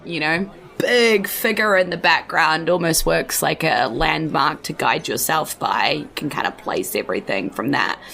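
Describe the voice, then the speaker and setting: Female game master, New Zealand accent, lower register, gravelly edge, loose conversational narration. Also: moderate pace, few pauses, fairly bright tone, slight background noise. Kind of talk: casual improvised tabletop game narration.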